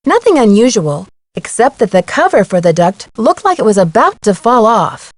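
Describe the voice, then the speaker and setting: An actress playing a young female amateur detective in a video game, curious, sensible and gently wry. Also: mid-range voice, big pitch swings, normal speed, no echo, close to an everyday voice. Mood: earnest, explaining. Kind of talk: scripted video game dialogue.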